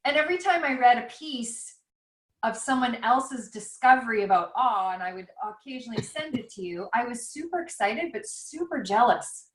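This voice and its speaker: A woman's voice: fairly high pitch, animated, normal speed. A woman author talking over a video call, thinking aloud in a rambling, reflective, self-questioning way. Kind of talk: casual conversation over a call. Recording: roomy echo.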